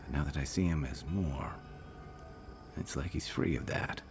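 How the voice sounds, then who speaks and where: An actor playing a weary, loyal, regretful companion in a video game, speaking in an earnest, deliberate, reflective way. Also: male voice, a bass voice, music in the background. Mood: resolute, warm. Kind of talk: video game dramatic dialogue.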